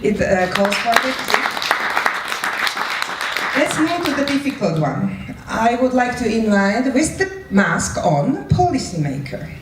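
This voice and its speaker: A woman's voice: fairly high pitch, very animated, slow pace, a loud crowd. A non-native English-speaking woman moderating a live event and introducing speakers. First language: not English. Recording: echoey room, distant mic, background noise.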